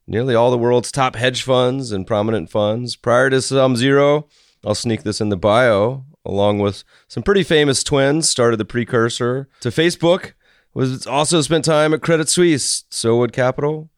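A hoarse man hosting a podcast, chatting casually with rambling asides. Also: medium pitch, normal speed, no echo, studio quality. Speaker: podcast host. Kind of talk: casual interview chat.

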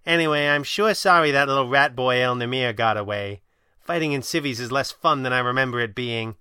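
A man's voice: medium pitch, a little fast, sharp tone, clean tone, no room sound, clean studio sound, lightly acted. A man voicing a brash, teasing young woman crimefighter in an audio drama, with a sardonic, slangy manner. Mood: scolding, grumbling. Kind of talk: audio drama character voice in narrated reading.

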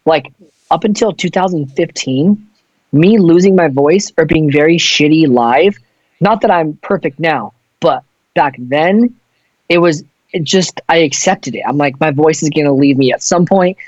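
A man's voice: fairly high pitch, measured pace, a little mellow, no echo, muffled recording. A man who sings in a touring band, chatting casually in a loose, rambling way with plenty of swearing. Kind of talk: casual chat.

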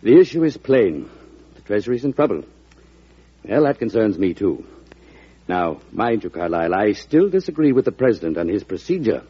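A rich haughty banker, low and raspy, measured, old radio play. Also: male voice, big pitch swings, warm tone. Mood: grudging. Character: wealthy, haughty banker, shrewd and self-assured. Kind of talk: old-time radio drama acting. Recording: muffled old radio recording.

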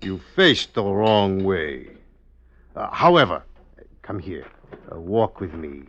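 Slow, sly schemer. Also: male voice, sits low, big pitch swings, a bit bright, exaggerated voice. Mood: coaxing, sly. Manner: coaxing, halting, old-fashioned folksy speech. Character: scheming murderous man feigning kindness to a trusting lad. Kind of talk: 1950s radio drama acting. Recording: muffled old radio recording.

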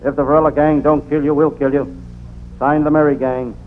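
Noisy old radio deadpan private detective actor. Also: male voice, a little high, fairly quick, close to an everyday voice. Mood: deadpan, weary. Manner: deadpan, punning first-person delivery. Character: deadpan, world-weary male private detective, comic radio gumshoe. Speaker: narrator and lead character. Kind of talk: old-time radio comedy detective narration. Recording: noisy, narrow-band vintage radio recording.

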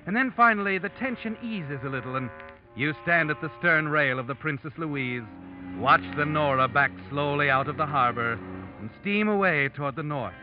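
A man's voice: fairly high pitch, expressive, natural voice. Music plays behind a man acting an ominous narrator on a muffled vintage radio recording. He speaks directly to you, suspenseful and ironic. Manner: ironic, suspenseful, deliberate phrasing. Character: ominous, knowing male narrator addressing the guilty man. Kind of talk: dramatic second-person radio narration. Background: dramatic music.

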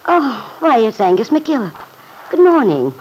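An actress playing a kindly, thoughtful middle-aged farm mother in an old-time radio drama, speaking gently and politely with some hesitancy. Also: fairly high pitch, big pitch swings, unhurried, slight background noise, low-quality recording. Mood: pleasant.

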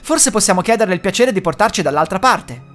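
A woman voicing a brave, clever young girl who speaks in a bright, eager way. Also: mid-range voice, expressive, fast, faint music behind, lightly acted. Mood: earnest.

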